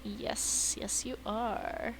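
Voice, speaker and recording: A woman's voice: on the high side, little pitch movement, very slow, noisy background. A woman streaming a game and chatting casually, sleepy and yawning, who laughs often and swears casually. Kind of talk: casual live-stream chat.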